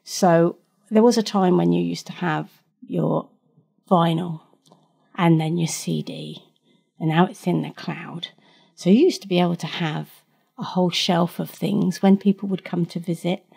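Chatty woman with a gravelly voice. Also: on the low side, darkish tone. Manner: chatty, frequent restarts, laughs easily. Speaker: guest expert. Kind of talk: casual interview answers.

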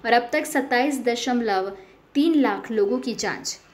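A woman's voice: fairly high pitch, measured pace, slight background noise. A woman presenting the news in a scripted narration style.

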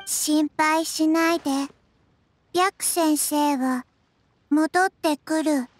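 A woman voicing an expressionless little undead girl in an anime game, in halting short phrases over music. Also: high voice, no room sound. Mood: deadpan, reassuring. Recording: music behind the voice.

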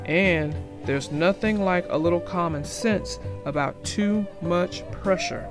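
Father bear, voiced by a woman reader, lowered pitch, gentle, steady and reassuring, storybook read-aloud. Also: takes it slowly, music in the background. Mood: calm, wry.

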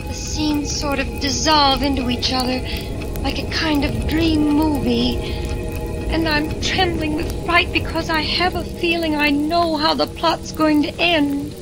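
A woman's voice: sits high, fairly even intonation, unhurried, loud music behind the voice. A woman playing a naive, frightened young wife who rambles girlishly in a 1940s radio drama. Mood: dreamy, fearful. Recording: noisy vintage 1940s radio recording.

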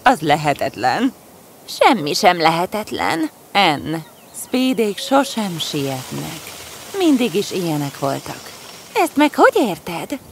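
A woman voicing young female fairy tale characters, expressive and earnest. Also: on the low side, vocal fry, slight background noise. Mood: earnest, exasperated. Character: women in a fairy tale: eager schemer, resigned friend. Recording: faint music under the voice.

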